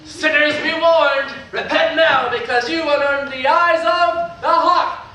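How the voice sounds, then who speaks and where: A bombastic would-be wrestling avenger in a stage play. He proclaims in an extremely high, forceful voice that rings from afar through a very echoey hall. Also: steady tone, measured pace, recorded from a distance, heavily acted. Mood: bombastic threat. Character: bombastic son posing as righteous wrestler.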